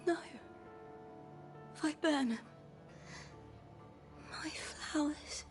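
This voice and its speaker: An actress playing a wounded but defiant young female warrior in a video game, speaking in solemn, halting phrases. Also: high-pitched, whispered, over music. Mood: pained, defiant. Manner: halting, solemn, breaking lines into short phrases. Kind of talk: dramatic video game voice acting.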